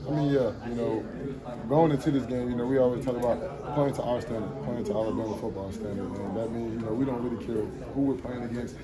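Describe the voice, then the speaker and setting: A young man, a college football linebacker, answering reporters' postgame questions in a relaxed way with many "you know" fillers, recorded on handheld mics indoors. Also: mid-range voice, fast. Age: young adult. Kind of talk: postgame press scrum answers. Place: locker room hallway, indoors, among reporters. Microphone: reporters' phones and handheld mics held close. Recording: noisy press scrum with crowd chatter.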